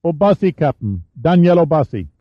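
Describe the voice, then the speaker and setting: A man voicing an eager seventeen-year-old boy serving as a ship's gunner, in a high, youthful voice. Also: very animated, a little fast, dry recording. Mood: earnest. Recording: dull, dry studio sound.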